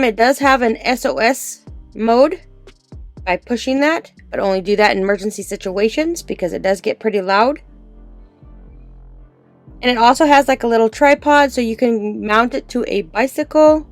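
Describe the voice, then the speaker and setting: A woman with a somewhat high voice presents a casual product demonstration. She explains things informally, one step at a time, with music playing behind her. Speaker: product reviewer.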